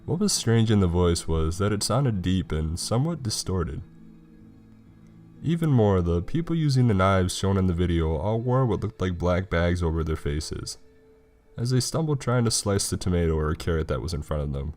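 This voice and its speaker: A man's voice: sits low, expressive, moderate pace, darkish tone. A man reading a scripted horror story as its narrator. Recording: music behind the voice. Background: background music.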